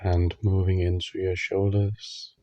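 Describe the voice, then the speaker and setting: Guy doing a guided meditation, really mellow dark voice, super slow with long gaps, gentle short instructions. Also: sits low, completely flat delivery, dry recording, muffled recording.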